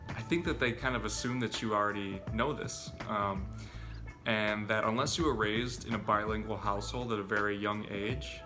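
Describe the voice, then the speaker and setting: A young man earnestly explaining language learning tips straight to his viewers, talking to them as "you guys". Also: fairly low pitch. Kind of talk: direct-to-camera tips video.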